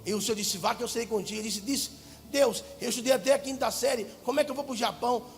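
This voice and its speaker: A Brazilian Portuguese-speaking male pastor preaching fervently, swinging between shouted lines and quiet asides in a large church. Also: very high voice, fast talker, gravelly. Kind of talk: preaching a sermon. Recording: hall reverb with faint music.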